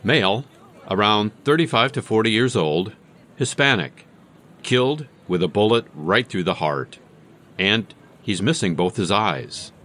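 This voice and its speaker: Low, varied-pitch male coroner played by an actor. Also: expressive, measured pace, a bit bright. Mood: matter-of-fact. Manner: clinical. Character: male coroner, matter-of-fact and clinical. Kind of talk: audio drama acting.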